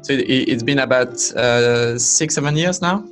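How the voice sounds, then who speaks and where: A man's voice: mid-range voice, unhurried, fairly bright tone, faint music behind. A man from France with a French accent, in his thirties, a startup founder answering interview questions in a hesitant way, with many restarts.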